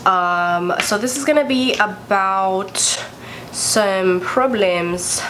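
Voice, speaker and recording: A woman's voice: mid-range voice, very slow, fairly bright tone, a little hiss or hum, poor audio. A young woman vlogger with a congested, stuffy voice, chatting candidly and swearing freely as she tells a story. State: congested from a cold. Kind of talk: casual storytime vlog.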